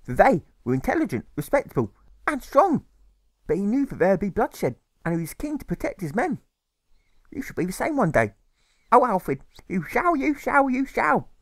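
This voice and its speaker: Proud, fervent storybook mother, played by a man, pitched high and very animated, declaiming to her son. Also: dry recording. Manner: fervent, repetitive, exclamatory declaiming. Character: emotional, proud, doting mother lecturing her young son. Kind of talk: theatrical audiobook character reading.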